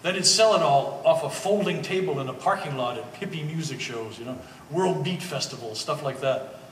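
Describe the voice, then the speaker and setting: A man's voice: a little high, lively intonation, normal speed, natural voice. A man playing an easygoing old family friend in a stage play, with a wry, hesitant, joking manner. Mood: explaining, casual. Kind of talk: one-act stage play performance. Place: gallery stage performance, indoors. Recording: echoey hall, distant mic, faint noise.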